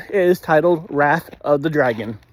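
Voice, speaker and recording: A man giving a casual spoken episode review in a halting way, often clearing his throat. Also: fairly high pitch, lively intonation.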